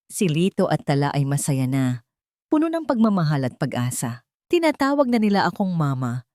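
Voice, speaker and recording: A woman's voice: fairly low pitch, a little mellow, no echo, studio quality. A woman with a Filipino accent reading a dramatic story as a scripted audiobook narration.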